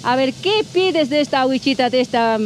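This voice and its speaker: High-voiced motherly shopkeeper woman. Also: animated, fairly quick, a little hiss or hum. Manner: affectionate, motherly, full of endearments. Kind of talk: casual motivational chat. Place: outdoors at a shop in a mountain mining town.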